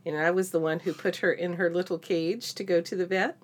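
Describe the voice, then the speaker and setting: A woman's voice: on the low side, fairly even intonation, brisk. A middle-aged woman co-hosting a podcast, chatting casually and telling anecdotes with wry, self-deprecating humor. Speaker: podcast co-host.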